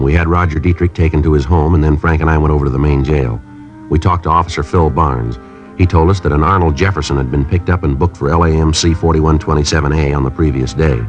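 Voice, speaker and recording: An actor playing a police sergeant who narrates in a clipped, matter-of-fact report style, in 1950s radio drama acting. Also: male voice, rumbling low. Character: terse male police detective sergeant. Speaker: narrator and detective. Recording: dull old radio broadcast with music.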